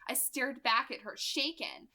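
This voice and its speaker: A woman reading a novel aloud as an expressive amateur narrator. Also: sits high, a little slow. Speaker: amateur audiobook reader.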